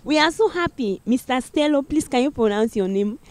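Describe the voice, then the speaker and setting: A woman from Cameroon in her forties hosts an interview outdoors in a park, speaking into a handheld microphone. Her voice sits fairly high, and she gushes with excitement, repeating how happy she is. The delivery is warm-hearted and effusive. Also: brisk. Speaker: talk show host. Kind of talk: enthusiastic interview hosting.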